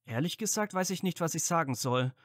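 A man's voice: on the high side, lively intonation, a little fast, no room sound, natural voice. Man acting an earnest young wizard, dry sound. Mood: curious. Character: young male wizard, caring, curious, awkwardly supportive friend. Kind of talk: fan fiction audio drama acting.

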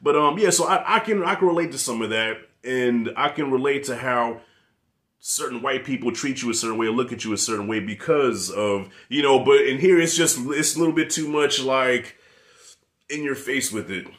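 A man's voice: mid-range voice, moderate pace. A man in his thirties with a New York accent, reviewing a show on camera from his living room. He rants in a casual, loose way, swearing freely and talking with his hands. He speaks into a desk mic set up right in front of him. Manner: profane, animated, talks with his hands. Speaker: movie reviewer.

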